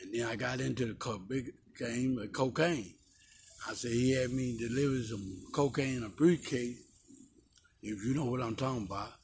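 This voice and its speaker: A man in his sixties with a Texan accent, telling his life story as a guest in slow, drawn-out speech outdoors. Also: medium pitch, creaky, darkish tone. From Texas. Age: 60s. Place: outdoors in a park. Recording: noisy outdoor recording.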